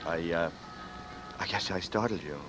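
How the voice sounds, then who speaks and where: A man's voice: low-pitched, a bit bright. An actor playing a hesitant, bewildered man drawn into danger, in a 1940s radio drama. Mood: uneasy. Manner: hesitant, halting speech. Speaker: first-person narrator and lead. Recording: dull lo-fi old radio broadcast. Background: music score.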